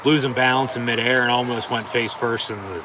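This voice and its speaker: A man giving loose, profane play-by-play of a wrestling show, often trailing off and restarting with fillers. He sits back from the microphone, and clear outdoor noise runs behind him, like traffic in an urban setting. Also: mid-range voice, fairly even intonation, recorded from a distance. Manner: rambling, profane, halting with fillers. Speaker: watch-along commentator. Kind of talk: casual play-by-play reaction.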